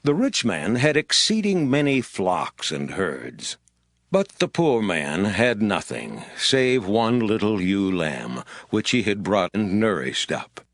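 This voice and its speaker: An actor playing a stern, grave Hebrew prophet, declaiming solemnly in a dramatized Bible audiobook. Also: male voice, fairly low pitch, wildly swooping pitch, slow pace, dry recording, clean studio sound, exaggerated voice. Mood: grave storytelling.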